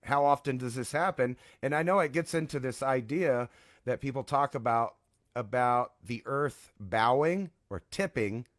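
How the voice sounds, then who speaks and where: A man talks as a co-host in a casual, rambling way. His voice is mid-pitched and recorded dry, with very little room sound. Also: moderate pace, fairly bright tone, no echo.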